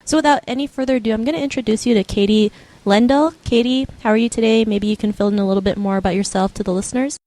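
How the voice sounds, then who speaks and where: A woman's voice: a little high, fast, dark tone. Upbeat affirming woman podcast host. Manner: upbeat, affirming, many "you know" fillers. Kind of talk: podcast interview hosting.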